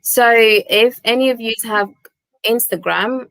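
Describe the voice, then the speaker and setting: A woman from Gambia, a Wolof speaker, chats through her live stream with a slightly gravelly, creaky voice. The sound is dry and close. She talks freely, repeats herself and keeps saying "basically". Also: on the high side, slow pace, fairly bright tone, dry recording, rough recording. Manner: chatty, repeats words, says "basically" often. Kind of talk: casual live-stream chat.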